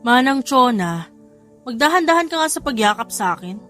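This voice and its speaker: A woman's voice: fairly high pitch, big pitch swings, moderate pace, fairly bright tone, soft background music, voice pushed up. A woman playing a devoted, playful young husband in an audio drama. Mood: relieved, caring.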